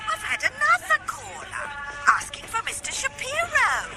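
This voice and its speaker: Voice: very high-pitched, wildly swooping pitch, moderate pace, vocal fry, over loud music, over a noisy crowd, heavily acted, low-quality recording. An actor playing a familiar telephone caller who says dear and fishes for tips, in British radio drama style. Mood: familiar, insistent. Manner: familiar, calls listener dear.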